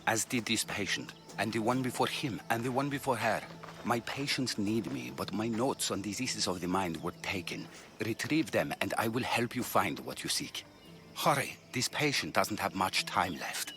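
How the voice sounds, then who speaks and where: An actor playing a dedicated, brisk ancient Greek physician in a video game, earnest and emphatic in the way he talks. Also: lowish voice, lively intonation, bright, forceful tone, faint music behind. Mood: urgent, grave.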